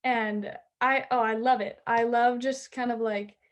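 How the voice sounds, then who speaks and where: Chatty teenage girl softball player. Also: on the high side, fairly even intonation, a little slow, highs cut off. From Southern California. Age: teens. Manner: bubbly, chatty, many "like" and "um" fillers. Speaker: high school softball player. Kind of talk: interview answers.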